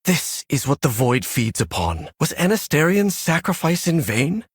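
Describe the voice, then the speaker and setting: An impassioned noble elf, speaks in a breathy mid-range voice that rises and falls widely. He is formal and heartfelt, pleading his cause in dramatic game style. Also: fairly high pitch, sing-song, normal speed, no room sound, studio quality. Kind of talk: dramatic fantasy game acting.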